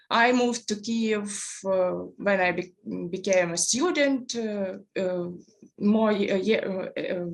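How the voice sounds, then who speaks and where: A woman's voice: mid-range voice, vocal fry. A young adult woman from eastern Ukraine with a Ukrainian accent, answering interview questions hesitantly with many fillers and restarts. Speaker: guest, Fulbright program coordinator. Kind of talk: interview answers in a second language.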